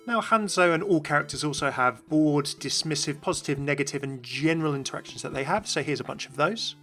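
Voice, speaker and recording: A man's voice: on the high side, a little fast, sharp tone, soft background music. A man hosting a gaming video with scripted, explanatory narration. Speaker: video host.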